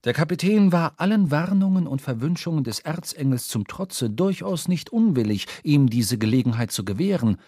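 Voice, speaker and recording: A man narrating a literary radio drama from a script, storytelling in measured, formal diction. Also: a little high, animated, warm tone, no echo, studio quality. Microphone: close studio microphone.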